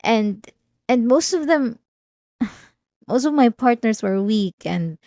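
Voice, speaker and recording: Woman acting a halting, obsessive patient, close and dry. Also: fairly high pitch, expressive, dry recording. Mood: wounded, defensive. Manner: hesitant, halting, with sighs and nervous soft laughs. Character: obsessive, manipulative female therapy patient, outwardly calm and vulnerable. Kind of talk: intimate ASMR roleplay monologue.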